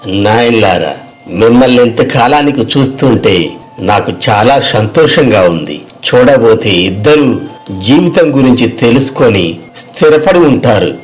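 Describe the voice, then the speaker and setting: A man's voice: medium pitch, animated, unhurried, faint music behind, natural voice. A man playing a kindly elderly village teacher in a moral story, speaking formally and in a fatherly way. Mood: fond, pleased.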